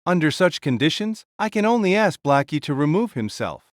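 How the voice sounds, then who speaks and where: A man voicing a boisterous, carefree warrior king who makes loud, hearty proclamations. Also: a little high, big pitch swings, normal speed, no echo, clean studio sound. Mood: blunt. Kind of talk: audiobook character voice.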